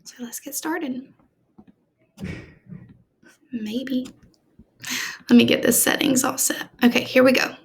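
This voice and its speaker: A woman teacher reading a storybook aloud to children in an expressive, deliberate, explanatory manner. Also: sits high, creaky, husky.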